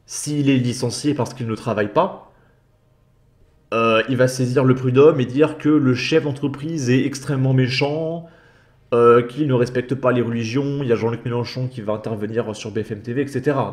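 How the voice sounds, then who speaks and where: A man's voice: medium pitch, darkish tone. A man hosting a commentary channel, talking over video clips in a sarcastic, mocking voice-over.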